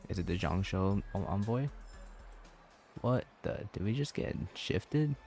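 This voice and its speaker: A man casually quipping loudly as he plays a story game. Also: on the low side, lively intonation, measured pace, soft background music. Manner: loud, joking quips over the game. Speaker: streamer reacting. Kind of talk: casual gameplay commentary.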